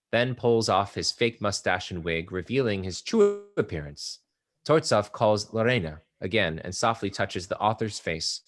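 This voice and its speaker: A man with a fairly low voice narrates stage directions at a table read. He delivers scripted description of the action between the actors' lines.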